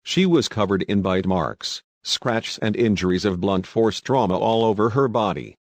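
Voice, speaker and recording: Guy acting as local tv news anchor, lowish voice, measured and formal, scripted bulletin. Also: lively intonation, no echo, clean studio sound. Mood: sober, formal. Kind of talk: scripted newscast.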